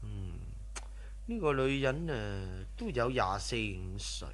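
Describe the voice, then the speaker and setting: An actor playing a greedy, lecherous burglar muttering to himself in a radio drama, with drawn-out interjections. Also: male voice, lowish voice, lively intonation. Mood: sly, scheming.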